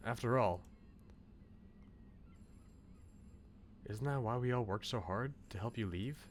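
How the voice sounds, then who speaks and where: A man reading a brooding, self-sacrificing young man's inner thoughts from a visual novel, low and subdued. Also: a little fast, slight background noise, lightly acted. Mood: reflective. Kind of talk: visual novel read-aloud.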